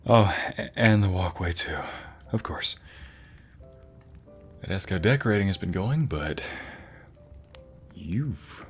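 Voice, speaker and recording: Casting: male actor as devoted, flirty husband for ASMR romance audio; deep, crackly, whispery voice, tender and lingering delivery. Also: expressive, faint music behind, exaggerated voice. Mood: warm, pleased. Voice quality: low, breathy, gravelly murmur, often dropping to a whisper. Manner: tender, slow, sighing delivery. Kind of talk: ASMR romantic roleplay, intimate monologue.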